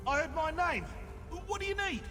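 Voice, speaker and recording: Casting: excitable male research assistant, fantasy game, extremely high swooping voice in a reverberant hall. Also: fairly quick, heavily acted. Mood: excited. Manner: exclamatory, enthusiastic. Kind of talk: scripted fantasy game dialogue. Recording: long hall reverb, music behind.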